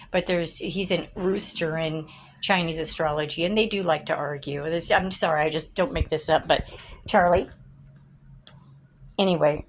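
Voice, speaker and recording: A middle-aged woman, slightly raspy this morning, chatting casually and rambling into her phone as she walks from outside into her home. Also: low voice, a little hiss or hum. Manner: rambling, easily distracted, laughs often. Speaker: vlogger. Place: outdoors then inside a home. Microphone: phone held by hand.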